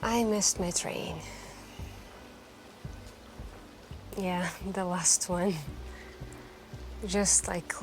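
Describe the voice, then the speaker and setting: A woman playing a wistful, lonely stranger in an intimate, soft-spoken ASMR roleplay, hesitant and confiding as she rambles. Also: fairly low pitch. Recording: soft background music. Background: quiet background music.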